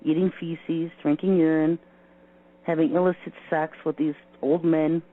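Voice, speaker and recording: A man's voice: a little high, level tone, a little slow. A man delivering an insistent, repetitive explanatory monologue. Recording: muffled narrow lo-fi audio with hum.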